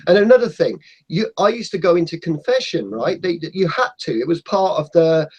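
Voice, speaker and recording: A man's voice: fairly high pitch, lively intonation, a little fast, a little mellow, husky, lo-fi sound. A man from Portugal chatting on a video call, passionate and emphatic, calling the others mate. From Portugal (Madeira). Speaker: guest on an online hangout. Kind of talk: casual group chat.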